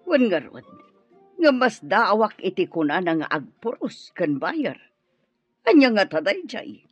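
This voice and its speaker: A woman's voice: medium pitch, very animated, unhurried, husky, dry recording, faint music behind. A woman playing a proud, disapproving parent in an Ilocano radio drama, with an Ilocano accent. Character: proud, disapproving parent arguing over a child's sweetheart.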